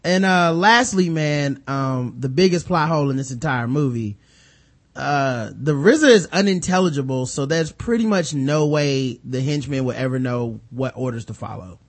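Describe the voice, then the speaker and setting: A man's voice: medium pitch, moderate pace. An American man hosting a casual, profane film discussion, animatedly retelling scenes and acting out mock dialogue. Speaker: movie review podcast host.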